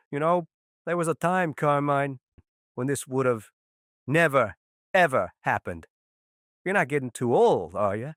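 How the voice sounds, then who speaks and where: A man playing a mocking rival gangster boss who taunts with a jeering, slow delivery. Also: medium pitch, lively intonation, a bit bright, no echo, clean studio sound. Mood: taunting. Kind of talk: motion comic voice acting.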